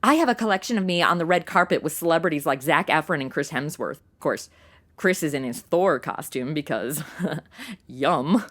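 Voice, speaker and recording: A woman's voice: low voice, expressive, moderate pace, fairly bright tone. A woman playing a brash, sarcastic modern young woman in a comedy audio drama, full of slang and exclamations. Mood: playful. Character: sassy modern young woman, snarky, brash amateur sleuth.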